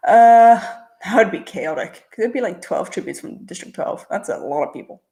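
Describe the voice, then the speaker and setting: A woman's voice: on the low side, animated, gravelly, mellow. A woman with a mixed international-school accent, chatting casually as she reads viewer questions aloud and answers them on a livestream. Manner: chatty, answers quickly with frequent uh and um fillers. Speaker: channel host answering viewer chat.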